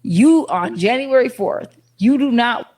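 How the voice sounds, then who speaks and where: A woman's voice: on the high side, expressive, measured pace, darkish tone. A woman hosting a casual interview who questions her guest and pushes back. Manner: pushes back, laughing disbelief. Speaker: podcast host.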